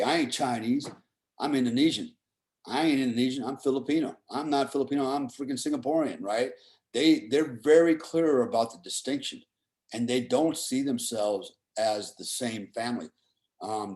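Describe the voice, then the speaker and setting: A man's voice: medium pitch, darkish tone. A middle-aged man telling stories and giving opinions casually, in a blunt, profane way, often checking for agreement. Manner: often seeks agreement with "right?" and "you know". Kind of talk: casual conversational storytelling and opinion.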